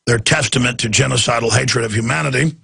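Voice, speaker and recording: Hoarse raspy radio guy ranting, super gravelly, dry studio sound. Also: medium pitch, fast talker, pushed and bright, dry recording. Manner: ranting, indignant. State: hoarse, straining to talk. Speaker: radio talk show host. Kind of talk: combative political commentary.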